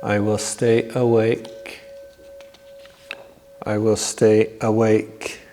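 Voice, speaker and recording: A man leading a guided yoga nidra meditation in a measured, repetitive, instructing manner. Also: on the low side, completely flat delivery, warm tone, soft background music. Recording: long reverberant echo on voice.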